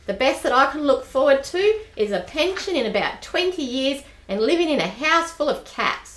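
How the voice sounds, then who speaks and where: Woman doing a bossy forty-something spinster, brisk and no-nonsense, lively pitch, echoey room. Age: 40s. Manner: brisk, bossy, matter-of-fact. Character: bold forty-something spinster bank clerk. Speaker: radio play actor. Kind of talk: amateur radio play acting.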